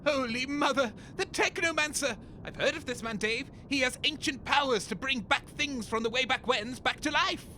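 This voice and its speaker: A melodramatic, excitable male sidekick in an audio comedy. The voice is extremely high, bossy and mocking, with clear noise behind it. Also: moderate pace, few pauses, exaggerated voice. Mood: awestruck. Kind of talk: comedy audio drama acting.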